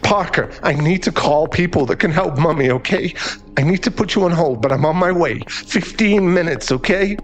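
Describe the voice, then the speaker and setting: A man's voice: on the high side, normal speed, voice pushed up, close to an everyday voice. Casting: male actor as remorseful bully, now a divorced dad; stammering, emotional, audio-drama delivery. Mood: panicked, soothing. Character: troubled divorced father, a remorseful bully in therapy. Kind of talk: dramatic audio roleplay acting.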